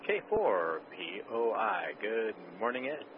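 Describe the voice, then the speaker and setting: A man's voice: fairly low pitch, slow. A man acting as net control on a ham radio net, steadily calling in check-ins and adding dry quips. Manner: steady, matter-of-fact call-taking with dry quips. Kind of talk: radio net roll call. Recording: dull, muffled radio audio with faint hiss.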